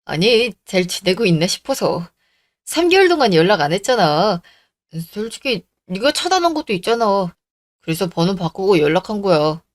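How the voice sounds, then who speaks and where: A woman's voice: mid-range voice, sing-song, normal speed, no room sound, lightly acted. A woman plays a coddled young fiancé in a radio drama. He pleads and blames in a whiny, self-pitying way, recorded close and dry. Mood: hesitant. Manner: pleading, blaming.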